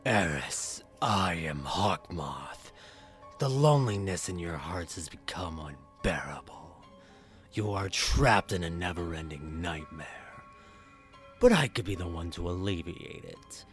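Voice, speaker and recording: A man's voice: deep voice, expressive, measured pace, fairly bright tone, soft background music. A man playing a sinister, scheming supervillain in an audio drama, with a pompous, menacing delivery. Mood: coaxing, menacing. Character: sinister, scheming male supervillain, grandiose and theatrical. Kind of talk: fan audio drama acting.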